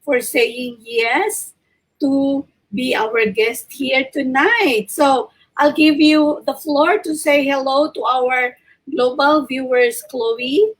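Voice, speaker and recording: A Filipino woman hosting an online interview, speaking in a courteous, deliberate, inviting way and mixing Tagalog and English. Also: high voice, low-quality recording. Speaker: talk show host.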